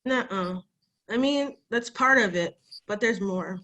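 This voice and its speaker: A woman's voice: medium pitch, a little slow, a bit bright, close to an everyday voice. A woman playing a defiant, wary young girl in a screenplay table read, speaking bluntly and informally. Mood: bitter, upset.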